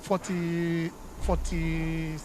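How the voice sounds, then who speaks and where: A man with a Nigerian accent giving a street interview, telling his account in steady detail. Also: on the high side, extremely slow. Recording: street noise with passing vehicles.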